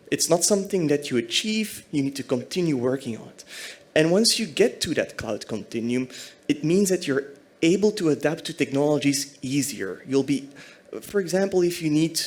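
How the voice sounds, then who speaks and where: A man from Belgium giving a conference talk as a senior technology architect, explaining fluently. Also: a little high.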